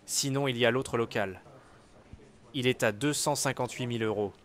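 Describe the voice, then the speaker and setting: A man reading everyday French dialogues for learners, with clear, measured scripted delivery. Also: medium pitch, natural voice.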